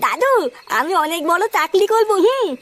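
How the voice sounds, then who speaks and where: A woman's voice: very high voice, sing-song, moderate pace, fairly bright tone, heavily acted. A woman voicing a small, eager grandchild in a comedy cartoon, with a babyish lisp.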